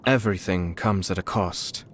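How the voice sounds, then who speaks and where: Guy doing a composed young nobleman game character, lowish voice, super polite n refined, bit shrewd, music in the background. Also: a little hiss or hum, close to an everyday voice. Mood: measured, assured. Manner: polite, measured, refined, quietly sly.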